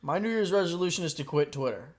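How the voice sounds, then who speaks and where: A man's voice: a little high, lively intonation, fast talker. A young man co-hosting a podcast, chatting loosely with plenty of teasing and swearing. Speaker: podcast co-host. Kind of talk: casual banter.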